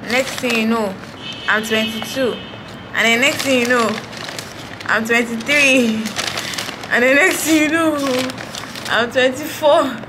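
A woman's voice: fairly high pitch, noisy background. A young woman vlogging from a hotel, playful and laughing, swearing casually while she talks to the camera. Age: young adult. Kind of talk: casual vlog chat. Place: hotel room, then night balcony. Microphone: handheld camera held close.

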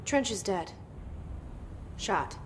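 A guarded young woman, unhurried inner voice, game cutscene. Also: mid-range voice, expressive, a little hiss or hum. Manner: quiet, hushed inner monologue. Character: guarded, wry young woman, the new director. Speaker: game protagonist. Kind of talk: video game cutscene acting with inner monologue.

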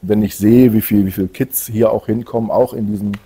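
A man's voice: fairly low pitch, fairly even intonation, a little fast, dark tone, slightly rough. A middle-aged man talking casually as an interview guest about his own work. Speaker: guest, community project organiser. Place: indoor event room with collection displays.

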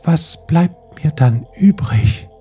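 A man voicing a timid yet resolute young mermaid girl in a fairy-tale audiobook, with a raised, breathy, trembling delivery. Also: a little high, expressive, takes it slowly, a little mellow, faint music behind, voice pushed up, heavily acted. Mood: fearful, resolute.

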